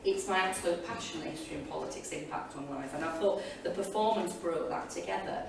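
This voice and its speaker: A woman's voice: lowish voice, fast. A woman teacher giving informal, enthusiastic and rambling reflections to an audience as a panelist. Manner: chatty, enthusiastic, digressive. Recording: echoey hall, distant mic, loud room noise.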